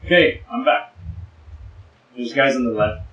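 A man co-hosting a let's play, in casual gaming banter, with jokey, rapid, repeated callouts to his partner. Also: mid-range voice, fairly bright tone, lo-fi sound. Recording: roomy echo.

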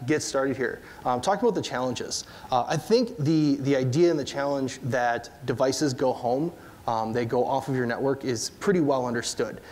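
A man in his thirties with an upper Midwest accent, giving a relaxed technical conference talk with lots of fillers and questions to the audience. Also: mid-range voice, slight background noise. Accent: upper Midwest American. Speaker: conference presenter. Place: large dark stage before an audience.

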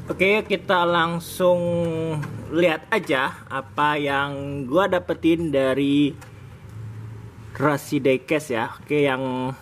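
A man hosting a relaxed toy review vlog, talking casually to viewers as he unboxes toy cars at a table. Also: a little high, takes it slowly, slight background noise. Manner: relaxed, chatty, sprinkled with "guys". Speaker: diecast toy channel host. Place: indoors at a table, off camera.

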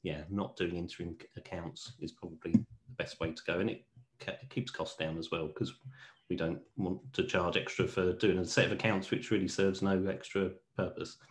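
A middle-aged man, an accountant, hosting a professional discussion over a video call, measured and asking colleagues for their views. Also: deep voice, level tone. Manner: measured, asks colleagues questions. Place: home room with teal wall. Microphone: earphone headset on video call.

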